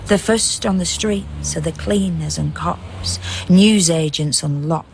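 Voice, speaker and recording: A woman's voice: on the low side, slow pace, vocal fry, slight background noise. A woman playing a tender, watchful dead young woman who narrates in lyrical, incantatory verse in a radio drama. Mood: lyrical, observant.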